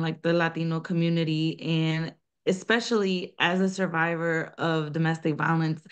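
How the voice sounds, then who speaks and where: A woman's voice: on the low side, smooth. A woman, answering interview questions earnestly with frequent restarts. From United States (North Carolina). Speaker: guest, nonprofit founder and social worker.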